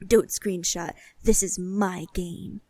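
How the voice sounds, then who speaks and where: A young woman co-hosting a podcast, chatting casually in an animated, emphatic way and swearing freely. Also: on the low side, slow, no room sound. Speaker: podcast co-host. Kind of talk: casual chat.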